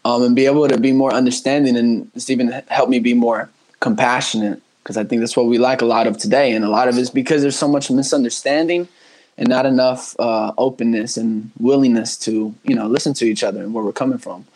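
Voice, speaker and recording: Relaxed young man, casual and earnest. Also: mid-range voice, a little fast, low-quality recording. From Honduras. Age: 20s. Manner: earnest, relaxed, many fillers and restarts. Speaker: podcast guest. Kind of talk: casual conversational interview.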